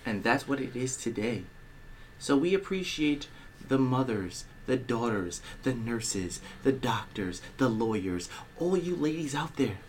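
A man co-hosting a casual podcast, his voice sitting at an ordinary middle pitch. He banters playfully and hypes himself up, clearing a slight tickle in his throat now and then. A faint hiss of noise hangs behind him. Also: expressive, measured pace. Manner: playful, boastful self-introductions. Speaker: podcast co-host.

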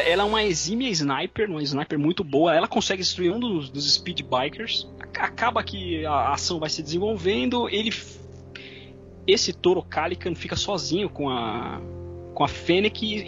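A man's voice: high-pitched, fairly bright tone. A man with a Brazilian Portuguese accent, chatting casually on a podcast and retelling a story with lots of fillers. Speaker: podcast co-host. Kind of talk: casual chat recapping episodes. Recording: muffled recording with faint music.

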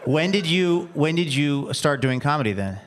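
A man hosting a radio interview, relaxed and conversational. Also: on the high side, normal speed, slight background noise. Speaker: radio show host.

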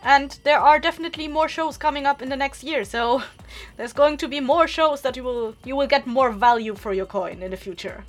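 A woman's voice: high voice, brisk, faint music behind. A woman hosting a podcast, giving announcements to listeners in a chatty, informal, upbeat way. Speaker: podcast creator and host. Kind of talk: casual scripted announcement to listeners.

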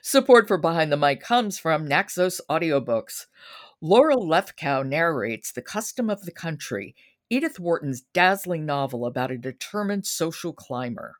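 A woman's voice: low voice, very animated, normal speed. A woman hosting a podcast about books, moving between conversation and announcements. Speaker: podcast host. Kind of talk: conversational book review chat and announcing.

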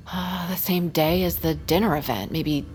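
A woman playing a hesitant young survivor in an audio drama, speaking haltingly and informally. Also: low voice, steady tone, a little mellow, slight background noise, lightly acted. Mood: uncertain. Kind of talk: naturalistic audio drama acting.

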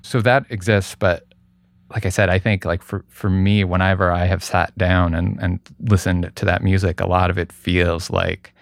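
A middle-aged man speaking as an interview guest in a casual, thoughtful, halting way, often hedging what he says. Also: low voice, flat delivery, vocal fry, dry recording, clean studio sound. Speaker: guest, radio producer.

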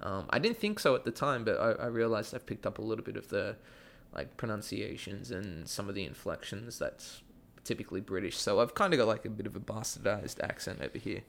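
A man speaks in a relaxed, rambling way, his Australian accent toned down. His voice has a gravelly fry to it, and his sentences drift through frequent fillers and restarts. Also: on the low side, fairly quick, hardly any pauses. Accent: Australian, softened. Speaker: guest, actor and school theatre teacher. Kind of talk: casual podcast chat.

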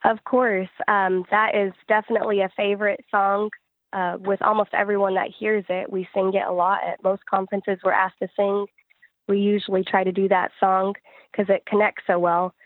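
Even-toned woman giving interview answers. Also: mid-range voice, normal speed, no room sound, narrow, tinny audio. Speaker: interview guest, gospel singer-songwriter.

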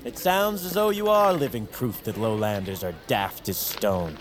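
A man's voice: on the low side, moderate pace, a little hiss or hum, close to an everyday voice. A man playing a cruel, haughty brother in a fantasy audio drama, taunting and imperious. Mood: contemptuous. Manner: mocking, imperious.

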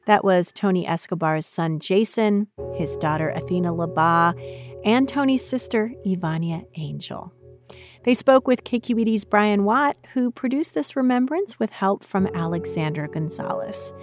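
A woman's voice: mid-range voice, lively intonation, moderate pace, music in the background. A woman hosting radio, reading scripted narration into a close studio microphone. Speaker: radio host.

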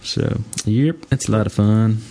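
A man hosting a podcast in casual chat, talking in a halting, rambling way. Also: on the low side, mellow, slight background noise. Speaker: podcast host. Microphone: online voice call.

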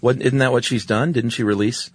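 A man's voice: mid-range voice, steady tone, fairly quick, no echo. An American man chatting informally as a podcast co-host, with a laid-back way of talking. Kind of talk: casual chat.

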